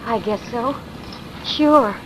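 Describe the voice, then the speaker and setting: A high-pitched, fretful young American wife in a vintage radio play. She is simple and devoted, with breathless colloquial speech. The old recording carries some hiss. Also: slow pace, rough recording. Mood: worried, meek. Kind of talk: 1950s radio drama acting.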